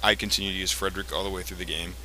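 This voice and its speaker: A man giving casual, explanatory gameplay commentary in a halting way, as the host of a let's play. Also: low voice, steady tone, sharp tone, slight background noise, poor audio. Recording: game music behind the voice. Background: video game music.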